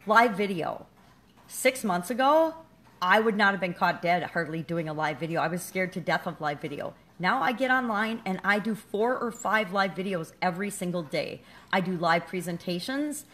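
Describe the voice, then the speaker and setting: A woman's voice: medium pitch, a bit bright. A middle-aged woman giving a candid, self-deprecating motivational talk to her viewers. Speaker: business coach and vlogger. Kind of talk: casual motivational talk to camera.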